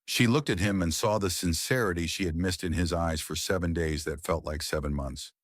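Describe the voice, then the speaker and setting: A man's voice: deep voice, brisk, no echo, clean studio sound. A man reading a scripted dramatic story as its narrator.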